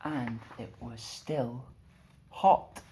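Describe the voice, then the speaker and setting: A man hosting a storytime and reading aloud for children in an expressive storyteller's style. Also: mid-range voice, extremely slow, a little hiss or hum, low-quality recording. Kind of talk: casual intro and slow expressive storybook narration.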